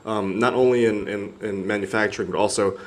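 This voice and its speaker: A young man with a somewhat low voice serves as the interviewer. He reads out prepared questions in full sentences and briefly thanks his guest before moving on to the next one. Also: fairly even intonation, fairly quick. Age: young adult.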